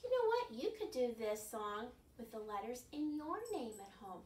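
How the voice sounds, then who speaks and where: An older woman leading an interactive storytime for young children as a children's librarian, speaking in an animated way with exaggerated questions. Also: a little high, moderate pace. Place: carpeted living room at home. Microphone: camera across the room. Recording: roomy, distant mic with some background noise.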